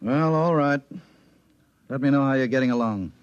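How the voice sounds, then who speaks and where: An actor playing a gruff, blunt, sceptical male chief in 1950s radio drama style. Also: medium pitch, moderate pace, dull audio, noisy background, lightly acted. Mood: exasperated.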